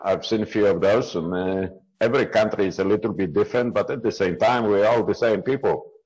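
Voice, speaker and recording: A man in his fifties from Poland, speaking English with a Polish accent as an interview guest on a video call, with halting, non-native phrasing and frequent restarts. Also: on the low side, darkish tone, husky, lo-fi sound. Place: small indoor room at home. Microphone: earbud headset on a video call.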